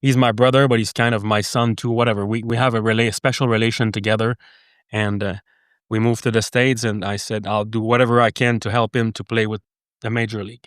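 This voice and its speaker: A middle-aged French Canadian man, a native French speaker, talking earnestly in a casual interview, with halting speech and frequent restarts. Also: on the low side, level tone, smooth, dry recording, clean studio sound. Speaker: podcast guest.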